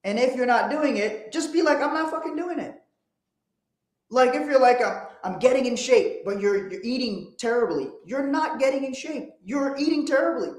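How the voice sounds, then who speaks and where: A man's voice: very high voice, lively intonation, moderate pace. Blunt man in an echoey room. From Liberia. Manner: blunt, profane, emphatic. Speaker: host. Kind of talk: motivational livestream talk.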